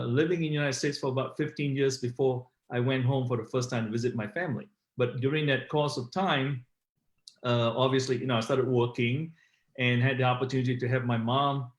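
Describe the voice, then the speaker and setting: Guy, middle aged, telling his story on an interview, mid pitch, echoey sound. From Malaysia. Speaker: interview guest, author. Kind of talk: conversational personal storytelling. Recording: roomy, echoey online call.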